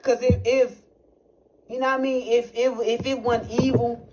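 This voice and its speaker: A man's voice: extremely high pitch, little pitch movement, moderate pace. A young man tells a personal story in loose, profane, rambling talk. He keeps circling back with fillers and half-finished thoughts. A noisy recording with clicks sits behind his voice. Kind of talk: casual storytelling testimony.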